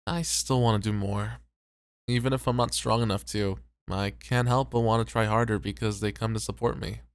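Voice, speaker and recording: A man's voice: lowish voice, fairly even intonation, moderate pace, clean tone, dry recording, clean studio sound, close to an everyday voice. A man voicing a conscientious, weary, self-doubting host in an ASMR roleplay, sincere and a little hesitant. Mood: worried, sincere. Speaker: voice actor.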